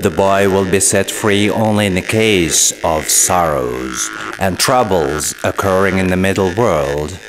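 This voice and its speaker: Guy narrating an epic real slow, low voice, noisy background. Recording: loud ambient noise.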